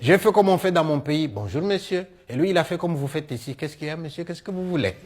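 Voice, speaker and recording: A middle-aged man with a Congolese French accent tells comic stories in a one-man show, acting out dialogue in an animated way. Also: a little high, fast, very noisy. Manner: animated storyteller, acting out dialogue, punctuating with "hein". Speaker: stage storyteller and comedian.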